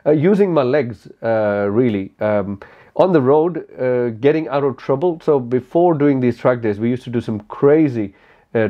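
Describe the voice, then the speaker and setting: Halting Indian-accented man, slightly dull audio. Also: medium pitch. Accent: Indian English. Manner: candid, halting, many fillers. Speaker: motorcycle YouTuber. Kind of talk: casual to-camera Q&A. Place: small cozy room indoors.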